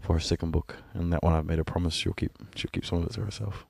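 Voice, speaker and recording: Deep gravelly nz-guy in his 30s, laid back, talks easy and laughs a lot, dry close mic. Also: fast talker, no room sound. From born in Sudan, raised in New Zealand. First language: an Ethiopian language. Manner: relaxed, candid, laughing often. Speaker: musician guest. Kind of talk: casual podcast conversation.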